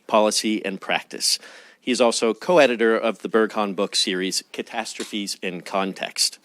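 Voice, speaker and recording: Low-voiced man reading a formal introduction. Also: fairly bright tone, no echo. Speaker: event host introducing the speaker.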